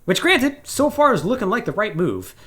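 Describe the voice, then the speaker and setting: Animated podcast host with swooping pitch. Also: normal speed. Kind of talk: casual video game discussion.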